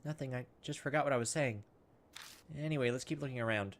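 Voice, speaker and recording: A man hosts a video game playthrough in a casual way, moving between reading on-screen story text and thinking aloud. His voice sits at a medium pitch, with some steady noise behind it. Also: fast. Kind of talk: gameplay commentary, reading visual novel dialogue aloud.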